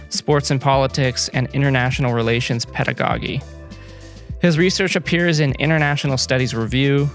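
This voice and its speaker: A man hosting a podcast, casually reading a guest introduction from his notes, with soft music underneath. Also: medium pitch. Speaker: podcast host.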